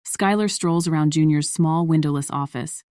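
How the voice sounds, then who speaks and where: A woman's voice: sits low, moderate pace, a little mellow, dry recording, studio quality. A woman reading scripted story narration as the narrator of a piece of fiction.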